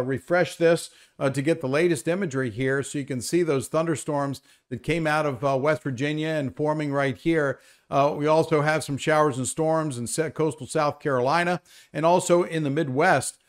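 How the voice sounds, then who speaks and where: A man presenting a live, unscripted weather briefing, explaining things in a conversational way. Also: on the high side.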